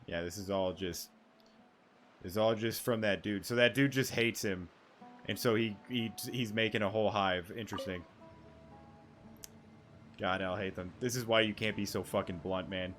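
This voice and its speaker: A man's voice: on the low side, fairly even intonation, moderate pace, long pauses, a bit bright, soft background music. A young man giving casual gameplay commentary, rambling and thinking aloud in slangy, sweary speech. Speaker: gaming YouTuber.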